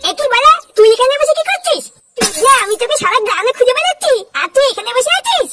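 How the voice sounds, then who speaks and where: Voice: extremely high pitch, sing-song, fast talker, heavily acted. A performer voicing a cheeky, foul-mouthed village schoolboy for a comedy cartoon, talking in brash, complaining slang. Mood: indignant, scheming. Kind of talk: Bengali comedy cartoon dubbing. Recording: loud music behind the voice. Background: music.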